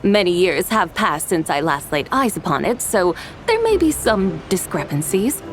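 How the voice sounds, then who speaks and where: A woman voicing a dignified ancient angel in a video game, speaking in a measured, formal and composed way. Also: lowish voice, sing-song, low-quality recording. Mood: composed, reflective. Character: composed ancient female angel, former moon envoy, dignified. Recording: music playing behind the voice.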